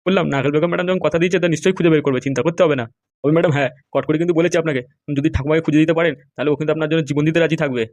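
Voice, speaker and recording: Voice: mid-range voice, fairly even intonation, very fast, a little mellow, no room sound, natural voice. A performer voicing a fawning, gossipy sidekick in a cartoon comedy, eager and deferential. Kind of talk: amateur cartoon comedy dialogue.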